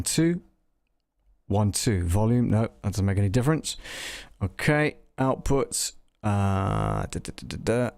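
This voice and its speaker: Male audio tutor for a casual live demo: slightish low pitch, gravelly creak, halting think-aloud delivery. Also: a little mellow. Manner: halting, thinking aloud, muttering test counts. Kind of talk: casual live software demonstration, troubleshooting aloud.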